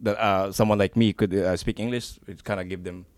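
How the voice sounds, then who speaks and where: A man's voice: lowish voice, fairly even intonation, a little fast, dry recording. A man, co-hosting a casual talk show, easygoing and a little hesitant. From born in the Philippines, raised in the USA. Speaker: co-host.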